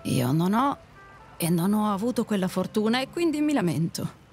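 A woman's voice: medium pitch, very animated, normal speed, a little mellow, soft background music. A woman playing a blunt, discontented taxi passenger in an audio drama, direct and questioning with a cynical streak. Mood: bitter, frank. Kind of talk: Italian audio drama dialogue.

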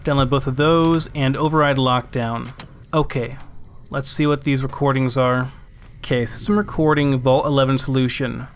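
A man's voice: mid-range voice, steady tone, moderate pace, a little hiss or hum. A man giving casual let's play commentary, thinking aloud as he plays.

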